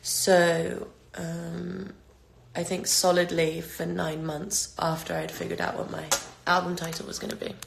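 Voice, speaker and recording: Gravelly-voiced London woman, roomy sound. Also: on the low side, a little slow, background noise. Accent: London English. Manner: relaxed, rambling with many fillers and restarts. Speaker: singer answering fans' questions. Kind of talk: casual livestream Q&A. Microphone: phone. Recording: echoey room on a phone livestream.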